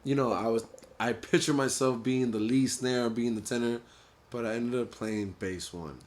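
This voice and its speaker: A man with a Honduran family background, telling his story in a laid-back, low-key casual chat. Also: steady tone, moderate pace. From Honduran family, grew up in the US. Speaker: DJ and producer, guest.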